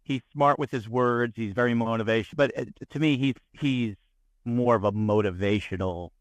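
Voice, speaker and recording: A man's voice: mid-range voice, moderate pace, no room sound. A man co-hosting a podcast, chatting casually in a rambling way full of restarts, with teasing humor. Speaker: podcast co-host. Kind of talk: casual chat.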